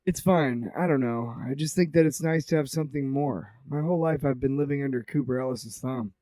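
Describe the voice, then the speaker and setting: A man acts out a guarded young super-powered clone in an audio drama. His voice is mid-pitched and deadpan, recorded dry and close. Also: a little fast, no room sound, close to an everyday voice. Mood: reflective.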